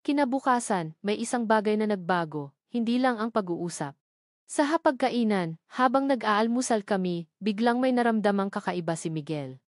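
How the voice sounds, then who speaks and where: A Filipino woman tells a romantic drama in the first person. Her voice sits at a medium pitch, and she delivers it sentimentally in measured phrases. The sound is dry, with almost no room in it. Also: expressive, dry recording. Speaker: story narrator.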